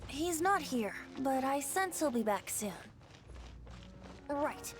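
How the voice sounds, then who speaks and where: High-pitched, playful young male bard. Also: expressive, takes it slowly. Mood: breezy, fond. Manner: teasing, lyrical, theatrical. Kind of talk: video game voice acting. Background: music.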